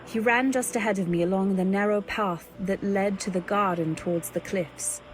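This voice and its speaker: An actress playing an anxious young wife, telling her story in measured, literary old-time radio drama style. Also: medium pitch, slight background noise, natural voice, low-quality recording. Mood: pensive, uneasy.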